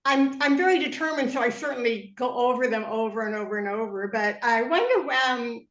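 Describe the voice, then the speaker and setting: A woman from Boston asks a question on a video call, talking in a chatty way as she searches for words. Her voice is high, and the room around her echoes. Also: fairly quick, highs cut off. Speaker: audience member.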